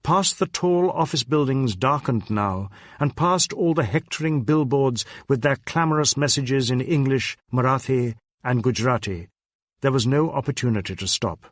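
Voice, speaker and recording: A man reading a crime novel as scripted dramatic narration, in a measured, literary storytelling manner. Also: mid-range voice, dry recording, clean studio sound. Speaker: audiobook narrator.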